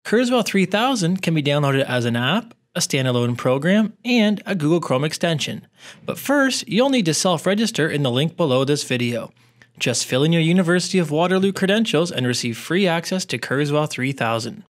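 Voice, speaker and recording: A man presenting a scripted tutorial in a clear, instructional way. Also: on the high side, expressive, normal speed. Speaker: tutorial presenter, educational technologist.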